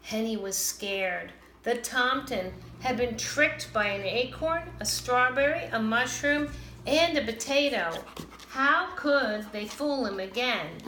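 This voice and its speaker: A woman reads a children's story with animated expression. Her voice is bright and takes its time. It sounds like an echoey room with faint noise. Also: a little high, slow. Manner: animated, dramatizes characters' lines. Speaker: storybook reader. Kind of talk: expressive children's read-aloud. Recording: roomy, echoing, some background noise.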